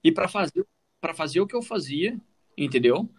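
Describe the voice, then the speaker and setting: A man's voice: a little high, big pitch swings, normal speed, slightly rough. A man with a Brazilian Portuguese accent telling his own story in a casual, informal way as a podcast co-host, often adding little check-in questions.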